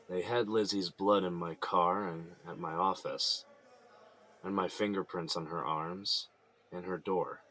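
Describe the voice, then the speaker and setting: A man's voice: low voice, fairly even intonation, takes it slowly, pushed and bright, heavily acted. An American man playing an earnest, troubled prisoner who insists on his innocence, speaking plainly in an audio drama. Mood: defensive, weary. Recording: noticeable background ambient noise.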